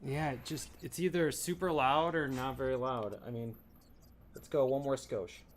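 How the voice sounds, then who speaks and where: A man livestreaming, quietly muttering to himself in casual chatter while he fiddles with his sound levels. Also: medium pitch, steady tone, moderate pace. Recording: noisy stream audio with train sounds. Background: train ambience.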